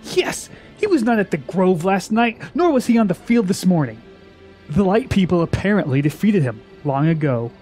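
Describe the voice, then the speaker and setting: A man's voice: sits high, big pitch swings, normal speed, darkish tone, soft background music. A man playing a shaken, defeated young warrior in a fantasy radio drama, giving his report in a dramatic, fervent manner. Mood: agitated.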